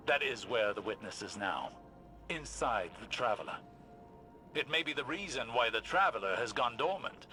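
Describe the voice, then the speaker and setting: Grave, bright-voiced sci-fi scholar. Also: male voice, mid-range voice. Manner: deliberate, formal, precise phrasing. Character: grave, learned male scholar in a sci-fi world, measured and cautious. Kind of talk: dramatic video game dialogue.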